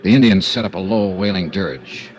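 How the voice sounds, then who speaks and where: An actor playing a hardboiled American insurance investigator who narrates in first person and talks in a terse, matter-of-fact way, in a 1950s radio drama. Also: male voice, low voice, moderate pace, close to an everyday voice. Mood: steady. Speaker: narrator and lead detective. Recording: old radio transcription with music bed.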